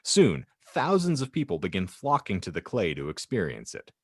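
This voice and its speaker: A man's voice: deep voice, huge pitch swings, moderate pace, breathy edge, dry recording, studio quality. A man co-hosting a podcast in a wry, playful way, moving between casual chat and reading aloud with theatrical phrasing. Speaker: podcast co-host.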